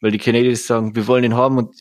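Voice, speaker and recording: A man's voice: medium pitch, level tone, racing, darkish tone, dry recording. A man co-hosting a casual chat, joking and guessing playfully, laughing often.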